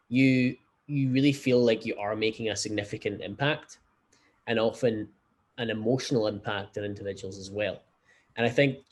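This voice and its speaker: Glasgow-accented man, low steady voice. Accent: Scottish, Glasgow. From Scotland. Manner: measured, explanatory, frequent fillers. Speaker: startup founder, guest. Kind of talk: conversational interview.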